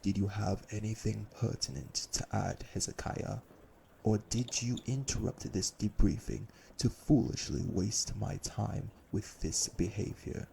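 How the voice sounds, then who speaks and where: Man acting low, gravelly, commanding vampire elder. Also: unhurried, noisy background. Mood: curt, dismissive. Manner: formal, stern, imperious. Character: cold, commanding elder male vampire brother, menacing. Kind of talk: audio roleplay drama acting.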